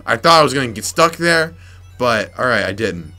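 A young man commentating his gameplay, frustrated and muttering to himself with sudden yelps, slightly raspy from yelling. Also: mid-range voice, lively intonation, moderate pace. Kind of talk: let's play commentary. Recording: faint game music behind.

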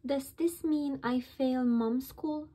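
A woman's voice: sits high, slow, warm tone. A woman voicing an eager, bossy young girl puppy who plays at being mum, in a storybook reading. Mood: unsure.

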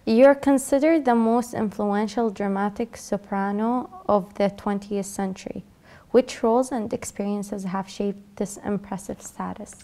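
A young woman interviewing a guest on a small indoor stage, asking formal, prepared questions in a polite and measured way. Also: medium pitch, mellow. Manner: polite, measured, brief affirmations.

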